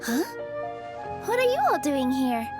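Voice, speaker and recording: A woman playing a sweet, earnest young dancer in an anime game, with a light, girlish, gently hesitant delivery. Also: high voice, very animated, unhurried, loud music behind the voice. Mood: friendly curiosity.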